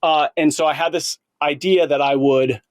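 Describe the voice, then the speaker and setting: Earnest man in his late thirties, higher-pitched voice. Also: fairly even intonation, normal speed, dry recording, clean studio sound. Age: late 30s. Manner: earnest, informal bro talk with slang. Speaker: podcast host. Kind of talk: casual conversational podcast interview.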